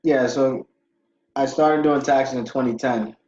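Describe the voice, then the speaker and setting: A man's voice: medium pitch, fairly even intonation, unhurried, a little mellow. A young man from Ghana, a podcast guest who tells his story in a rambling, casual way with many fillers. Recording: dull lo-fi audio.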